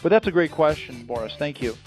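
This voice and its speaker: A man giving an emphatic, salesy pitch as a company founder on a promotional call, repeating phrases for effect. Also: lively intonation, a little fast, faint music behind. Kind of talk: promotional interview on a conference call.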